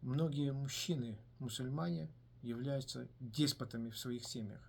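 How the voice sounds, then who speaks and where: A man giving a solo video commentary in a measured way, pausing often with hesitant filler sounds between phrases. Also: medium pitch, steady tone, gravelly, darkish tone, slightly rough. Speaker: commentator on his own video channel. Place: based in the United States.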